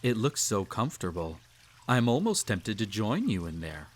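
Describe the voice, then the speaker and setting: A man's voice: on the low side, big pitch swings. A man playing a cruel, possessive emperor in a roleplay audio, speaking with haughty, silky condescension. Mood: amused, condescending. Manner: haughty, condescending, drawn-out teasing delivery with soft chuckles. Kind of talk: roleplay audio acting, intimate second-person monologue.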